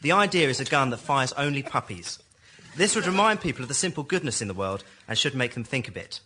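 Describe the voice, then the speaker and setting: A man pitching to a live audience as a member of the public, with a deadpan, mock-sincere comic way of talking. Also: quick pace. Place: radio studio with live audience. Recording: studio audience and faint noise. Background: audience laughter.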